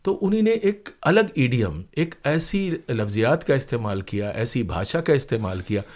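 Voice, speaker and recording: A man's voice: mid-range voice, very animated, normal speed, darkish tone. A man speaking as an interview guest, telling stories reflectively and earnestly, with emphatic repeated phrases and rhetorical questions. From India. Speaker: interview guest, playwright and former radio broadcaster.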